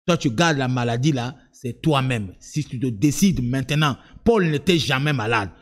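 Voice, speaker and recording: A man preaching a sermon in French, which is not his first language, moving from low, direct asides to high, sung-out cries of emphasis. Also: expressive, moderate pace. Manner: repeats words and restarts, talks to the listener directly. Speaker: apostle preaching.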